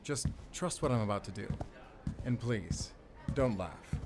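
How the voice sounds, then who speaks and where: A German wolf hunter in a cinematic audio drama. His voice is low and German-accented, his manner formal and composed with dry wit. Some background noise and ambience sit behind him. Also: expressive, a little slow. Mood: calm, confiding. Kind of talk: cinematic audio roleplay acting, speaking to the listener.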